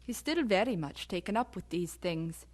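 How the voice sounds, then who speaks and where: A woman's voice: fairly low pitch, moderate pace. An actress playing a worried middle-aged mother, puzzled and fretful, in an audio drama. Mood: troubled. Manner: concerned, expressive.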